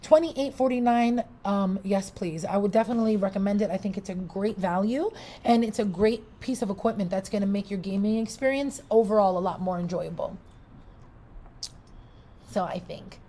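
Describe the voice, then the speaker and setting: A woman's voice: mid-range voice, normal speed, mellow. A woman with a Puerto Rican accent, casually reviewing a product live, chatty and loose, with restarts and asides to the viewers. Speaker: product reviewer on a shopping livestream.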